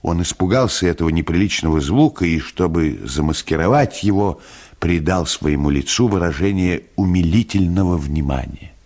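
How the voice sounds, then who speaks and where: A man reading a classic short story as a dramatised, expressive literary narration with an ironic touch. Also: low-pitched, moderate pace.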